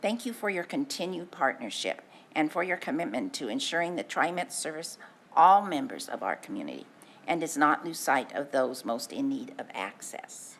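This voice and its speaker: Low-voiced woman reading a formal letter. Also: very animated, a bit bright, slight background noise. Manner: earnest, measured reading with small stumbles. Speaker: advisory committee chair. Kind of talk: reading a letter at a public meeting. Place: public meeting room.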